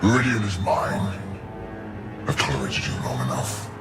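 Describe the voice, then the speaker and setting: An actor playing a menacing, imperious male alien villain in a video game, haughty and gravelly. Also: low voice, very animated. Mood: menacing contempt. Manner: measured, haughty, threatening. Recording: very loud music under voice.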